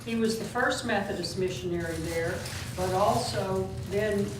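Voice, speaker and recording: A woman hosting a seminar, giving a measured formal introduction of the guest speaker. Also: medium pitch. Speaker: event host introducing the guest. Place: lecture room. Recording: very echoey room, distant mic, noisy.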